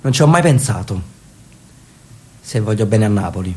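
A man's voice: lowish voice, moderate pace, lightly acted. A man playing a dry, reflective old brother. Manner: dry, reflective, argues calmly. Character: elderly brother, a detached writer, dry and reflective. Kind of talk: radio drama reading.

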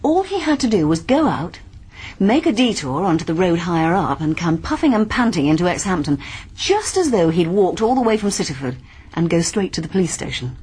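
A woman's voice: on the low side, big pitch swings, fairly quick, a little mellow, husky, full character voice, rough recording. An actress playing a keen, clever young woman sleuth with an English accent in a radio mystery drama. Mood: eager, explaining. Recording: faint background noise.

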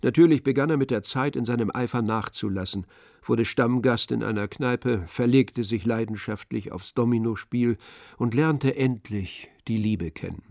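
A man's voice: mid-range voice, moderate pace, vocal fry, a little mellow, no echo, studio quality. A man reading literary narration as the storyteller of a radio play.

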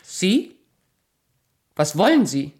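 A woman acting a proud, bitter, calculating queen and abandoned wife in a German radio play, speaking in a cool, sharp-tongued, imperious way. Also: lowish voice, big pitch swings, takes it slowly, slightly rough, full character voice. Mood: sharp, suspicious.

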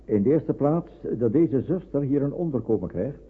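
Husky, animated male doctor. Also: mid-range voice, moderate pace. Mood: courteous, decisive. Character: authoritative, dryly kind hospital doctor. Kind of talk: radio play acting. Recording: narrow-band old radio recording.

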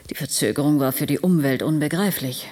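A woman's voice: deep voice, a little fast, a little mellow. An actress playing a concerned, earnest young woman doctor in a German radio drama, pleading urgently.

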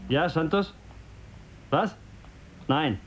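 A man's voice: on the high side, expressive, very slow, a little hiss or hum. An actor playing a brusque, self-important male news editor in a radio drama, curt and commanding. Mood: brusque, dismissive.

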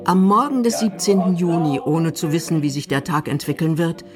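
A woman's voice: on the low side, normal speed, music in the background. A woman from Germany, reading scripted documentary narration.

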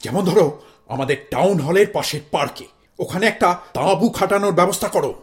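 A man acts out a pompous Bengali husband from a comic play, blustering and self-dramatizing. His voice sits high for a man and swings widely, declaiming like a speechmaker. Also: lively intonation, a little fast. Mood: commanding. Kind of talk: comic stage-play acting.

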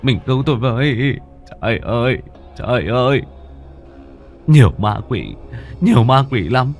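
A man's voice: mid-range voice, expressive, measured pace, faint music behind, voice pushed up. A man voicing a terrified old village tyrant in a horror story, pleading and stammering as he begs for his life. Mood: panicked. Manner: pleading, stammering, repeating himself.

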